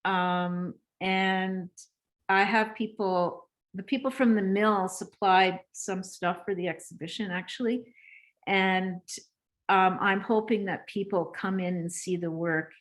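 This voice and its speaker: A woman's voice: mid-range voice, fairly even intonation, slow. A woman artist talking reflectively about her exhibition over a video call.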